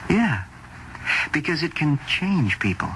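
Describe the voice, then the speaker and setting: An earnest, devoted agent and friend in an old radio drama. He takes his time over words, with lively swings of pitch, on a noisy vintage recording. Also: full character voice. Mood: fervent. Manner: earnest, deliberate, emphatic. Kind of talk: radio suspense drama acting.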